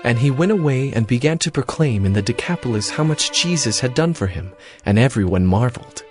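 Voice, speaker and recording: A man's voice: mid-range voice, animated, fairly quick, soft background music. A man reading scripted narration for a dramatized audio Bible.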